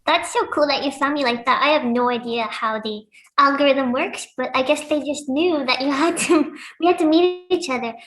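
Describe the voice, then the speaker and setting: A woman's voice: high voice, normal speed, fairly bright tone, reverberant, low-quality recording. A young woman from Lebanon, a pro wrestler, chatting casually as an interview guest and laughing often. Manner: giggly, upbeat, laughs often.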